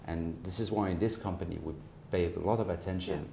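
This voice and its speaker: A man in his forties with a French accent answers interview questions in a low, mellow voice. He phrases things carefully and hesitantly, and the room adds a noticeable echo. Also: fairly quick, vocal fry. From France. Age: 40s. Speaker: company managing partner, interview guest. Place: office, indoors. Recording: roomy echo, faint background noise.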